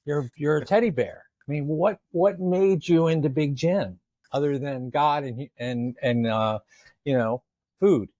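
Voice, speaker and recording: A man's voice: a little high, unhurried, darkish tone, dry recording. A man hosting an interview, speaking admiringly and often repeating words as he starts a thought. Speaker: podcast host. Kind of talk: casual interview chat.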